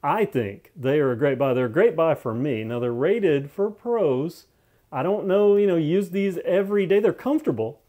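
A man's voice: fairly high pitch, animated, normal speed, dark tone. A man giving a casual, unscripted product review, thinking aloud and repeating phrases for emphasis in a conversational way.

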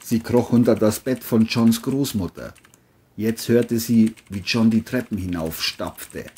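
A man's voice: fairly low pitch, unhurried, mellow, breathy edge. A man narrating a scripted crime story as an audiobook-style reading.

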